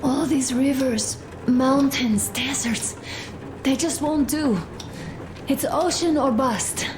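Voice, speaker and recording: A sick young wife in a game, soft tender voice on the high side, really slow and halting. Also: female voice, background noise. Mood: wistful, hopeful. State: weak, sick. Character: gravely ill young wife, wistful and tender. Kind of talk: video game voice acting.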